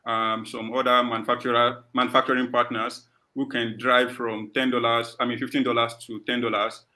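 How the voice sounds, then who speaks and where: A man with a bright, forward voice speaks as a startup founder. He answers questions off the cuff on an online call, at a middling pitch. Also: level tone, brisk.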